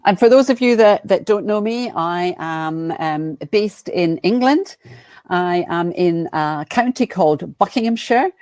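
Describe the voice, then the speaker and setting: A woman's voice: fairly low pitch, a little mellow, no echo, studio quality. A woman from Scotland with a Glasgow accent, presenting a webinar as a business coach in a friendly, chatty way with frequent ums and restarts. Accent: Glaswegian Scottish. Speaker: guest speaker and business coach.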